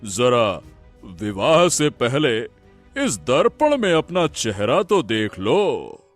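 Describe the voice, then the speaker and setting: A man playing a cunning cartoon holy man with magical powers, speaking in a deliberate, scolding, commanding way. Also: medium pitch, sing-song, faint music behind. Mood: mocking reproach. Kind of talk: animated Hindi moral-story acting.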